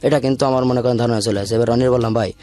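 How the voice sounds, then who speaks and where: A man's voice: mid-range voice, fairly even intonation, racing, warm tone. A man with a southwestern Bangladeshi accent from the Khulna region, recounting his life informally in a rambling, repetitive way and acting out remembered dialogue. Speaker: guest telling their life story. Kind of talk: informal personal confession, storytelling. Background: background music.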